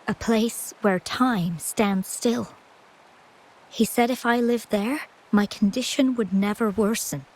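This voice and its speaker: An actress voicing a gentle, anxious, ailing young woman in a video game, speaking softly and politely with hesitant stammers. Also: mid-range voice, poor audio.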